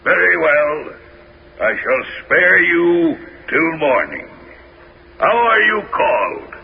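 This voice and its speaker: An actor playing a huge, brutish one-eyed Cyclops in a 1970s radio drama, slow and booming. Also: male voice, sing-song, creaky, exaggerated voice. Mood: gruff. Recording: dull old radio broadcast with loud hiss.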